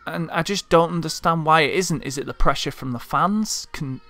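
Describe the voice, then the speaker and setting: A man's voice: a little high, moderate pace, bright, forceful tone. A man from Leeds with a Leeds accent, delivering a frustrated, heartfelt vlog rant as a football fan. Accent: Leeds Yorkshire. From Leeds, England. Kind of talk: impassioned monologue rant.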